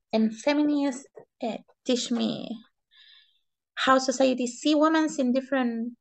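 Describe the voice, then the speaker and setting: A woman from Chile with a Chilean Spanish accent, giving interview answers in halting non-native English and searching for words. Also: a little high, creaky. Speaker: interview guest, economist and feminist.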